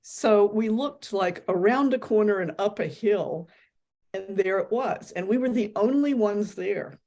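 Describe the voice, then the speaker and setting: Mellow-voiced woman telling an anecdote. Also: medium pitch, a little slow. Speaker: guest speaker. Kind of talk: casual anecdote.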